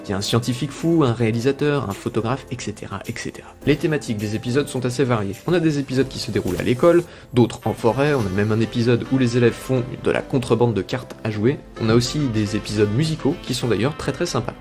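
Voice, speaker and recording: A man's voice: medium pitch, fast talker, hardly any pauses, music in the background. A man reading scripted narration for a video essay presenting cartoon characters.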